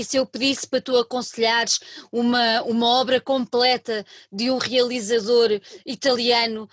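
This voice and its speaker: A woman's voice: on the high side, no echo. Chatty Portuguese woman host, dry sound. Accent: European Portuguese. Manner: chatty, warm laughter, many restarts. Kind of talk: radio interview.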